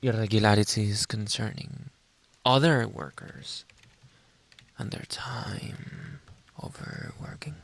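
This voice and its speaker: A man acting a slow, whispery jealous boyfriend. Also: lowish voice, dry recording, heavily acted. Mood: sly, insinuating. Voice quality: breathy, often dropping into whisper. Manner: theatrical, slow, drawn-out interrogating with dramatic pauses. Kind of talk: ASMR roleplay, close whispering and soft speech.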